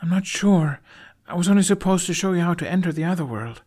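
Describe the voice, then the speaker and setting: A man's voice: high-pitched, expressive, fast, a little mellow, pitched above the performer's own voice. A man voicing an earnest, slightly sheepish young boy in an audiobook story. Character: young boy, eager, sheepish, trusting apprentice of magic. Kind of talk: fan fiction audiobook character voice.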